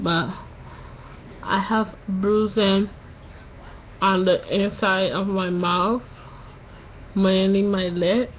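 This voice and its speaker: A young woman vlogging just after tonsil surgery, a little nasal, talking slowly through a sore throat with many pauses. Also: mid-range voice, dull audio, a little hiss or hum. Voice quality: slightly nasal. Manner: slow, halting, pauses often. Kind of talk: casual vlog to camera.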